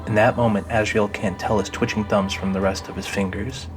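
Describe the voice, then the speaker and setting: Low-pitched male drama narrator. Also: level tone, a little fast, faint music behind. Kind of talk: scripted audio drama narration.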